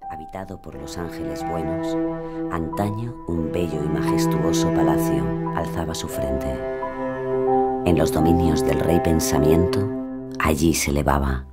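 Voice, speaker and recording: An ominous, haunting presence speaks in an extremely low voice. The delivery is eerie, insinuating and poetic, as in a gothic radio play. Music runs beneath it. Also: male voice, steady tone, a little slow. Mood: solemn recital. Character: sinister, ominous male inner voice haunting the story. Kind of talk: gothic audio drama, poetic recitation.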